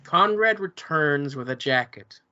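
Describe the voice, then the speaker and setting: Stage direction reader, flat and even, lo-fi. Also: medium pitch, unhurried. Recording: dull lo-fi recording.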